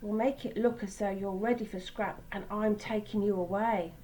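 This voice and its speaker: A woman's voice: medium pitch, fairly even intonation, normal speed, dark tone, a little hiss or hum, close to an everyday voice. A woman voicing a kindly, hardworking male steam engine from a storybook in an emphatic, earnest way. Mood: proud, helpful.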